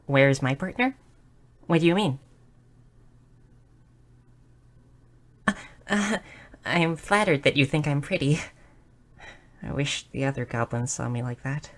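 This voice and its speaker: Casting: a woman as a bubbly, bookish goblin girl for audio roleplay, low-pitched, inquisitive, with a slight nervous stammer. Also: normal speed, pauses often, close to an everyday voice. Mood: flustered, earnest. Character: shy, bubbly, bookish young goblin girl, eager and curious. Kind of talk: scripted audio roleplay addressed to the listener.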